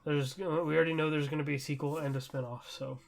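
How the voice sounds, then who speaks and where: Excitable young guy, kinda high voice with lots of fry, casual podcast chat. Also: quick pace. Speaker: podcast co-host.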